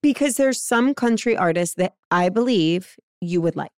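A woman with an average-pitched voice chats casually as a podcast co-host. She speaks with enthusiasm and firm emphasis. The recording sounds very dry. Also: lively intonation, moderate pace, no echo. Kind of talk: casual chat.

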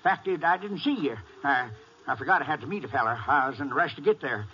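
A big, evasive rural suspect, drawling and defensive. His voice is on the high side and sharp. The recording is an old, slightly noisy radio western. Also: lively intonation, quick pace, muffled recording.

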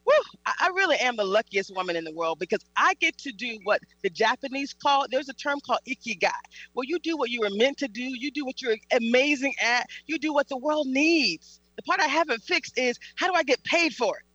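Excited lady talk show host, really high bright voice, gushes a lot. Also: fairly quick, slightly rough, no room sound, highs cut off. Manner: enthusiastic, effusive. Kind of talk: upbeat talk show hosting.

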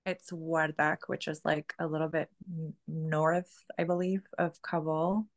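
A woman in her thirties speaks as a podcast guest with a low pitch and a gravelly edge of fry. She talks loosely and chattily, leaning on "like" and "you know" as her thoughts unfold. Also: little pitch movement, measured pace, no echo. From Canada. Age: 30s. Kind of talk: casual conversational storytelling.

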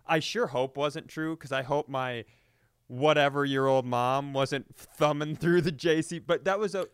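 Crisp-voiced man, dry close sound. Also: fairly high pitch, measured pace, no room sound. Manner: crude, confessional joking. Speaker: comedy podcast co-host. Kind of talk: casual comedic chat.

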